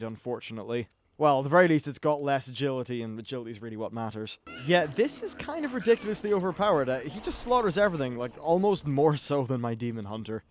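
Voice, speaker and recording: A man's voice: on the high side, a little hiss or hum. A man giving casual, off-the-cuff video game commentary as host, chatty and wry, with some swearing.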